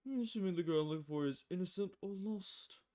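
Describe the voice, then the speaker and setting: A woman plays a male private eye from a noir visual novel. The voice is dark and mellow, with a grumbling, impatient manner as he fishes for information. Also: fairly low pitch, fast talker, voice pushed up, lightly acted. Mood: matter-of-fact. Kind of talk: visual novel character voice, film noir dialogue.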